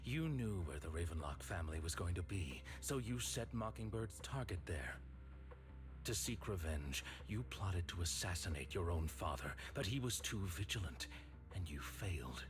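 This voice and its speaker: An actor playing a stern, righteous man in a video game, speaking in a grave, measured, accusatory way. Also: low voice, sing-song.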